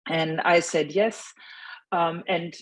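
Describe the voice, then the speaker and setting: A German woman with a German accent, speaking as a panelist about her career path, pausing often with ums and restarts. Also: lowish voice, steady tone, no echo, rough recording. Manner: hesitant, many ums and restarts.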